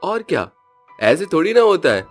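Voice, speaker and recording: Brief: jumpy young student in a cartoon, high voice, stammers when scared, music under. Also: male voice, very animated, normal speed. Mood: indignant. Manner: stammering, panicky, complaining. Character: young male college student, jittery and easily frightened.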